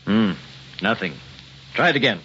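A man's voice: fairly low pitch, lively intonation, moderate pace, close to an everyday voice. An actor playing a commanding, impatient male scientist in old-time radio drama, with a theatrical delivery. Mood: dismissive. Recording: dull, noisy old radio recording.

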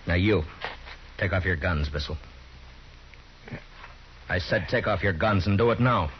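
A stern deep-voiced lawman. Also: slight background noise. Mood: commanding. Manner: firm, commanding, measured. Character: stern frontier lawman, middle-aged man, calm and hard. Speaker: narrator and lead. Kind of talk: 1950s radio drama acting. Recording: dull old radio broadcast.

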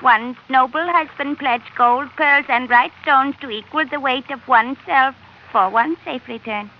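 A woman's voice: high-pitched, a little slow. An actress playing a proud young island noblewoman in an old-time radio drama, with stilted, formal, commanding speech. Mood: stately, formal. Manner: stilted, formal, calls herself "one". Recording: dull, lo-fi, noisy old radio recording.

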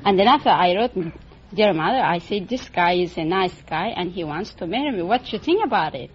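A woman with a foreign accent, a non-native English speaker, telling her story haltingly in simple broken English as a radio contestant. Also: on the low side, expressive, a little hiss or hum. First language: not English. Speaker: quiz show contestant. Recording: dull, muffled old radio recording.

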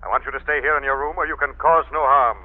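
A man's voice: mid-range voice, fairly even intonation, fast talker, close to an everyday voice. Guy acting commanding mysterious avenger from a 40s radio show, stern questioning, tinny narrow old recording. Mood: stern, pressing. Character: invisible crime-fighting avenger, stern, commanding interrogator. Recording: thin phone-like vintage radio recording.

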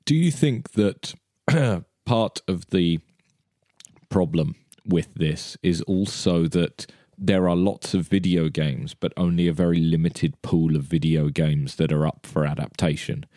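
A man in a casual podcast discussion, putting points to the panel in a measured, articulate way. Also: low-pitched, mellow, no echo, clean studio sound.

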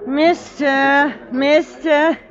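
A woman's voice: high-pitched, steady tone, very slow. An actress playing a tipsy, weepy young Englishwoman in a tavern, in theatrical old radio style. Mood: pleading, tipsy. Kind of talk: old-time radio acting. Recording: muffled old radio recording.

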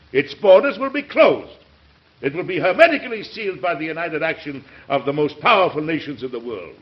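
An actor playing a weary, idealistic American President with emphatic oratory and deliberate pauses, in an old radio drama. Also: male voice, high-pitched, slightly rough, full character voice. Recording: dull, hissy old radio recording.

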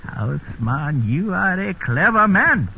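An actor playing a cold, calculating Nazi general with a commanding manner in a 1950s radio drama. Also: male voice, sits high, big pitch swings, slow, vocal fry, heavily acted. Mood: pleased, calculating. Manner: commanding, condescending. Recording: very tinny, noisy 1950s radio recording.

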